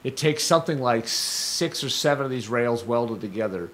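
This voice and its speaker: An older man hosting a community radio show from a studio, talking casually in a rambling, digressive way while his voice is going hoarse. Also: medium pitch, lively intonation, normal speed, fairly bright tone. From Stockton, California. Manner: rambling, digressive, self-correcting. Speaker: radio host. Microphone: studio microphone.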